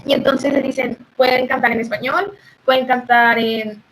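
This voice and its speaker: A woman's voice: sits high, steady tone, normal speed, fairly bright tone, rough recording. A woman, a guest chatting casually and hesitantly, with many fillers. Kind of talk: casual chat.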